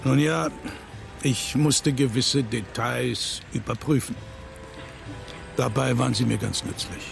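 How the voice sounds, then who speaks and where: A rich, menacing older man in a radio thriller. He speaks deliberately in a creaky, mocking voice, coldly superior, with clear music and rain behind him. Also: medium pitch, steady tone. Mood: mocking menace. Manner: deliberate, sardonic. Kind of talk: German radio drama acting.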